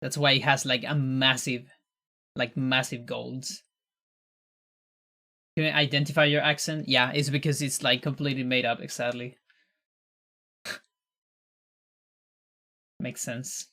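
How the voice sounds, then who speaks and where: A Chilean man whose first language is Spanish, with a loose, mixed American accent. His voice is bright and forward, at a middling pitch. He chats casually over a speedrun stream, chuckling and letting sentences trail into murmurs. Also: little pitch movement, a little slow, long pauses. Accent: mixed American with Chilean undertone. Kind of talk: casual live-stream chat while playing.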